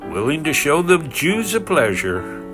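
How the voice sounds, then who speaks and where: A man giving a measured, expressive reading of scripture in a formal narrating style. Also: on the high side. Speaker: scripture reader. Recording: music behind the voice.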